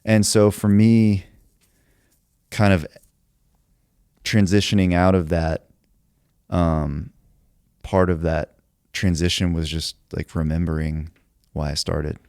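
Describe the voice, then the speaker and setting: Very deep-voiced reflective man. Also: fairly even intonation, takes it slowly, long pauses, a little mellow, dry recording, studio quality. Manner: reflective, thinks aloud with many "like"s. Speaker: songwriter. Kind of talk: casual podcast conversation.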